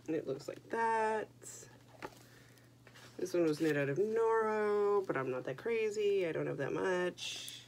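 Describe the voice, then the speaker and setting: Young woman, low voice, congested from a cold; casual, self-deprecating vlog host. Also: a little mellow, a little hiss or hum. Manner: chatty, self-deprecating, jokey asides. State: stuffy with a head cold. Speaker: knitting podcast host. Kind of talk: casual chat to camera.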